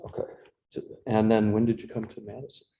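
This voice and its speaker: A man's voice: fairly low pitch, flat delivery, brisk, dull audio, slight background noise. A man hosting a live event, interviewing and introducing a speaker in a jokey, self-deprecating way. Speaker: event host.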